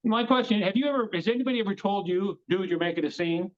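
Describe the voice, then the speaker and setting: A man with a fairly high voice speaks as a guest on a casual interview podcast. His audio is dull and lo-fi. He rambles easily, often restarting his sentences. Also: quick pace. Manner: chatty, rambling, frequent restarts. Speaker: guest, game show creator.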